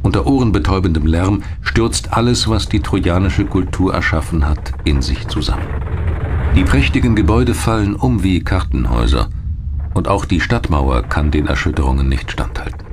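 A man reading scripted documentary narration in a measured, clearly articulated storytelling manner. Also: low-pitched, lively intonation, noisy background. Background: music behind the voice.

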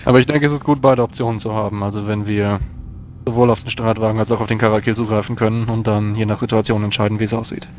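Male tabletop player, low-ish and brisk, considered in-character talk, noisy voice-chat sound. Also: steady tone, a little mellow, lo-fi sound. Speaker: tabletop role-playing player. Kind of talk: in-character discussion.

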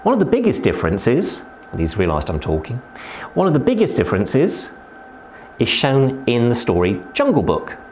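Animated zookeeper explaining reptiles. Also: male voice, moderate pace, slight background noise. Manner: lively, emphatic explainer with sudden pitch rises. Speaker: zookeeper giving a tour. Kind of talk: educational talk to camera. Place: indoor reptile house with lit terrariums.

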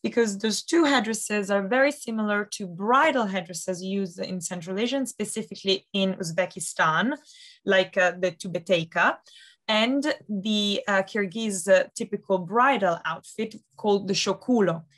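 A woman's voice: mid-range voice, moderate pace, fairly bright tone. Enthusiastic woman on a video call. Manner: enthusiastic, detailed, many fillers like "um" and "like". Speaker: auction house specialist. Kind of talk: expert explaining art in a video call interview. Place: home study with bookshelves. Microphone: video call webcam.

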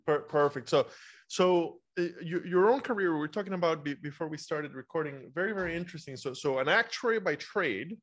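A chatty male podcast host from Costa Rica, talking in a fairly high voice over a video call. He often repeats words as he starts his sentences, and his delivery is loose and conversational. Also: a little fast, a bit bright. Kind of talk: casual interview chat. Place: bright home room. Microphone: video call with over-ear headphones.

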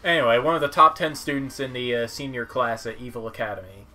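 A man giving casual game commentary in a halting, musing way, sometimes reading text aloud. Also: medium pitch, fairly even intonation, pushed and bright. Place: indoor room at home, seated. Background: game music.

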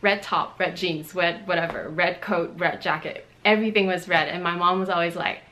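Young woman from Montreal, Cantonese first language, slightly low voice, chatty vlogger talking to camera at home. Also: normal speed. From Canada (Montreal). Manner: chatty, animated hand gestures, frequent fillers. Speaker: beauty and fashion vlogger. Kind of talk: casual chat to camera. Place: bright bedroom indoors.

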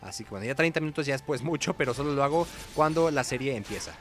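A man's voice: medium pitch, lively intonation, fast talker, a bit bright, faint music behind. A man with a Mexican Spanish accent giving casual, chatty gameplay commentary in informal slang as host of a gaming video. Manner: informal, chatty, hums little sound effects.

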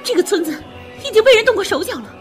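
A woman's voice: very high-pitched, sing-song, fast talker. An actress playing a frightened young woman who tells of ghostly horrors in a Chinese audio drama. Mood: grim, alarmed. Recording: music under the voice. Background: dramatic music.

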